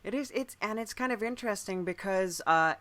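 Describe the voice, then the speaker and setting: Bright-voiced woman in podcast conversation. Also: mid-range voice, fairly quick. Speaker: podcast speaker.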